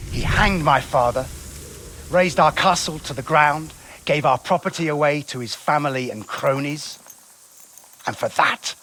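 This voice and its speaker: An actor playing a proud, aggrieved nobleman in a video game cutscene, speaking in a formal, haughty and measured manner. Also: mid-range voice, pushed and bright, slightly rough, full character voice. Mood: bitter, righteous. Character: aggrieved, proud nobleman seeking retribution, coolly persuasive.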